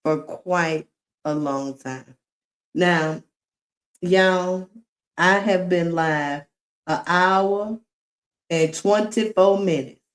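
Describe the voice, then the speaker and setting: A woman livestreaming, giving casual commentary and talking straight to her viewers. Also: fairly low pitch. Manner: slow, drawn-out talk, addressing viewers directly.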